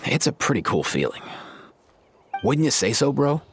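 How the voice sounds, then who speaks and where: Man as chill young beast-tamer, slangy, lively intonation, game cutscene. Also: mid-range voice, moderate pace, over loud music, natural voice. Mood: easygoing. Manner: laid-back, slangy.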